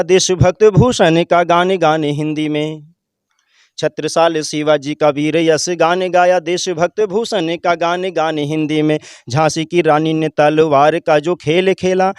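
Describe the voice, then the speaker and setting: Older man, slow declamatory Hindi teacher. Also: high-pitched, clear, resonant tone, no room sound, clean studio sound. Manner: slow, declamatory poetry recitation and measured explanation. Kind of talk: interview with poetry recitation.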